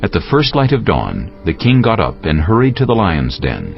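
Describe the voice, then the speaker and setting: A man's voice: low-pitched, huge pitch swings, moderate pace, creaky. A man narrating a dramatized scripture story as a scripted reading. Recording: muffled audio with music bed.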